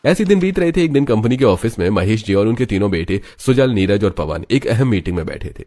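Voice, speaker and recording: Male narrator, low pitch, scripted storytelling, animated family drama. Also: brisk.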